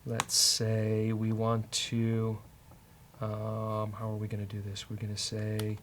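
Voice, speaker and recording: A man's voice: fairly low pitch, completely flat delivery, takes it slowly, a bit bright, slight background noise. A man hosting a casual coding show, bantering with a co-host and thinking aloud as he works.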